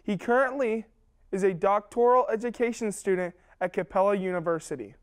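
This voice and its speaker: A young woman presents a scripted introduction in a steady, measured read. Her voice is clear and crisp. She sounds close on a clip-on mic. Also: medium pitch. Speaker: student presenter. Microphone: lapel mic.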